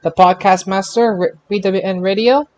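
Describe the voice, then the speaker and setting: A man hosting a casual panel podcast about wrestling results, speaking in an emphatic, excitable way. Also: high voice, moderate pace. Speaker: podcast host.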